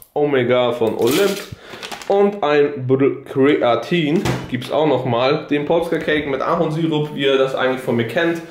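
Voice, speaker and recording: A man's voice: medium pitch, a little mellow, roomy sound, a little hiss or hum. A young man in his twenties filming a casual fitness vlog with a camera at arm's length, joking and slangy, first at home, then in a big gym. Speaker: fitness vlogger. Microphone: camera held at arm's length.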